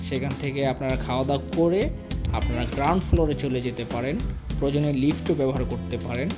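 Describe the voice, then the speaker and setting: A man's voice: mid-range voice, steady tone, quick pace. A man with a Bangladeshi accent narrating a guided tour as a travel vlog host, explaining things directly to the viewers. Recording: music laid behind the voice.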